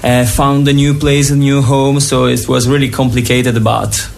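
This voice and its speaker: A young Italian man with an Italian accent, a native Italian speaker, telling an exchange-student story in animated, broken English. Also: mid-range voice, moderate pace, vocal fry. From Italy. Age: young adult.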